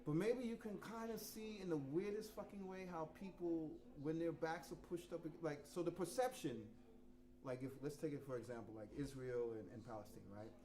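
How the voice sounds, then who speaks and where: 50s new york drummer guy talking straight to students, swears a lot, slightly high voice. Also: moderate pace, rough recording. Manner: frank and profane, swings from low and quiet to loud and laughing. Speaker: visiting drummer teaching a masterclass. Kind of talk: casual talk to students. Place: rehearsal room.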